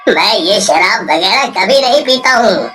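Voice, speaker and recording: A woman voicing a slow, coaxing male ghost. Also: mid-range voice, expressive. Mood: bitter. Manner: slow, coaxing, prods with questioning hums. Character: vengeful male ghost of a betrayed villager. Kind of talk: cartoon voice acting. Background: soft music.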